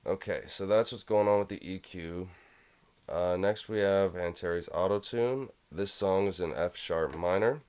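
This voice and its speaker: A young man with a low voice casually walking through a home music production tutorial, rambling a bit with fillers. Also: unhurried, a little mellow, clean tone. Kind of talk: casual screen-recorded tutorial.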